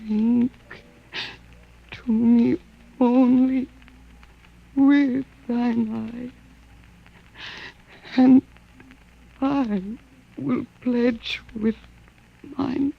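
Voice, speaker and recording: A sorrowful, dreamy Martian wife in an old radio drama. Her high, quavering voice is breathy and drawn out very slowly, on a dull lo-fi recording. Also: fairly even intonation, full character voice. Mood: mournful singing.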